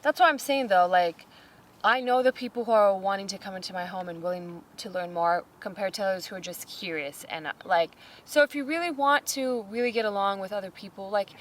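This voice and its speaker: A woman's voice: mid-range voice, brisk, distant mic, a little hiss or hum. A young adult woman from the United States hosting a podcast, venting emphatically in casual chat. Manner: emphatic, venting, pointed asides. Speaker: podcast host.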